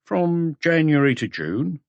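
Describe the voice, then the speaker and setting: A weary, blunt hotel keeper, acted by a male narrator for a crime audiobook. The voice is pitched up from a low register and sounds close and dry, answering in short, gruff phrases. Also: very animated, slow, no room sound, muffled recording, heavily acted. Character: unshaven, tired, gruff male hotel owner.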